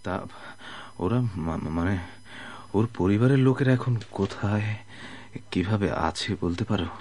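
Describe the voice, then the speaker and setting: Weak, faltering low-voiced sick sailor. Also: male voice, a little mellow. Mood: hesitant. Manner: halting, repeats words, pleading. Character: sick, exhausted, destitute wandering sailor, humble and secretive. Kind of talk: Bengali radio drama acting.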